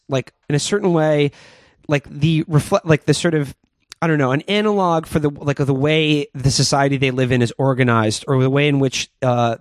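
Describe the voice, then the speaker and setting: A man working through an idea in conversation, circling with fillers and restarts. His voice is mid-pitched, and the recording is dry and close, with no room echo. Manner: hesitant, many fillers and likes. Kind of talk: conversational discussion.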